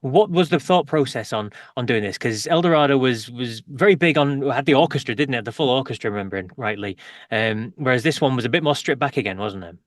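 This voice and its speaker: A man's voice: medium pitch, animated, a little fast, dry recording, clean studio sound. A man hosting an interview, asking leading questions that often end in tags. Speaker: interview host.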